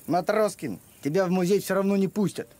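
A man voicing an eager, boastful, simple-minded talking dog in a children's cartoon, excitable and naive. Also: sits high, a little fast. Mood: teasing. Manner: excitable, naive, mixes up words. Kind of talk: animated children's cartoon acting. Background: music.

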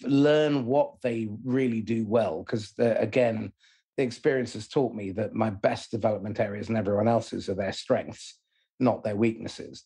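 Husky-voiced male podcast host. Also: lowish voice, warm tone. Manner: curious, laughs easily. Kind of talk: interview questions.

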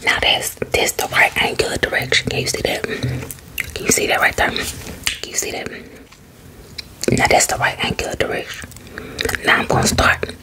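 A woman playing a sassy, defensive salon beautician in a breathy, whispered ASMR roleplay, using colloquial, repetitive patter. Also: lightly acted, poor audio. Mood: flustered, defensive. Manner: slow, sassy, colloquial patter with repeated phrases.

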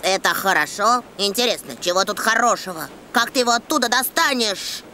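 A woman's voice: mid-range voice, expressive, fairly quick, pushed and bright. A woman voicing a grumpy, skeptical little animal in a children's cartoon dub, sarcastic and challenging, with noise behind. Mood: annoyed, sarcastic.

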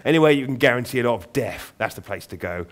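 A man voicing a huge raven with a loud, throaty voice and a London accent, cheerfully morbid. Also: mid-range voice, lively intonation, fast, natural voice. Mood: gleeful.

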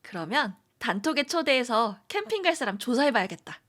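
An actress playing a gentle, hesitant young woman who is a senior colleague about to leave her job, in an amateur audio drama. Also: a little high, big pitch swings, brisk, faint music behind. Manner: soft, hesitant, friendly.

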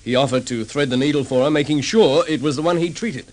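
An actor playing a calm, methodical detective sergeant laying out a case in an old radio drama. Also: male voice, on the high side, natural voice. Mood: measured. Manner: measured explaining. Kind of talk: vintage radio drama acting.

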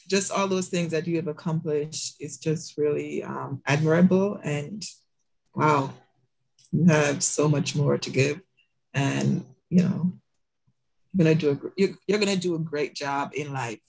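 A woman's voice: sits low, gravelly, rough recording. A woman speaking on a video call, giving a rambling, heartfelt comment with many ums. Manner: slow, rambling, with many ums and soft laughs. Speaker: audience member asking a question. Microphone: video call mic.

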